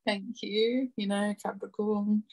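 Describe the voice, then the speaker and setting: A woman's voice: mid-range voice, flat delivery, slow pace, a little mellow, rough recording. A woman in her thirties from Brazil with a London accent, chatting casually as a podcast guest, laughing often and talking in a rambling, candid way. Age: 30s. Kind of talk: casual chat.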